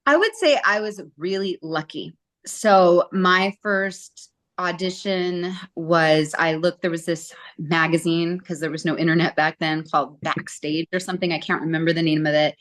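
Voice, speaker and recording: Woman, 40s, interview guest; slightly low voice, chatty and animated storyteller. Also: normal speed. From Southern California. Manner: chatty, animated, acts out quotes.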